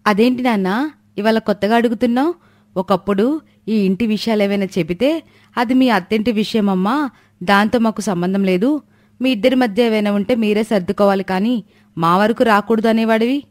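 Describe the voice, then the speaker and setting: A caring, long-suffering housewife voiced by a woman at a medium pitch. The recording is dry and close, in the manner of a storybook family drama. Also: moderate pace, a little mellow, no echo, lightly acted. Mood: questioning.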